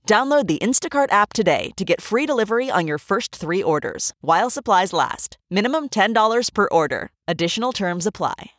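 A woman reads a scripted commercial with a playful, bouncy delivery. Her pitch swings widely. The sound is close and dry, with no room at all. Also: lively intonation, brisk, hardly any pauses, dry recording. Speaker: ad announcer. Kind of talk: scripted ad read.

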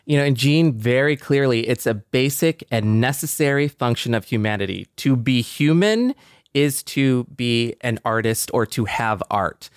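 A man on a podcast panel talking casually and thoughtfully, building his point haltingly in pieces. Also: medium pitch, lively intonation, clean tone, no room sound, clean studio sound.

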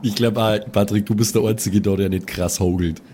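A man's voice: fairly low pitch, fairly even intonation, fairly quick, warm tone, slight background noise. A man talking casually as a player in an improvised tabletop role-play. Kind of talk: casual improvised game talk.

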